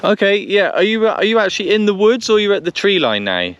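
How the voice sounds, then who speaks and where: A man's voice: sits high, sing-song, fast, a bit bright, smooth, highs cut off. A man giving casual running commentary and radio calls, repeating himself as he thinks aloud. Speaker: vlogger testing two-way radios. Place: outdoors on a country lane. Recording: noisy outdoor recording with vehicle sounds.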